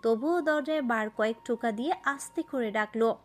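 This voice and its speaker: A woman's voice: a little high, moderate pace. A woman narrating a romantic story from a script.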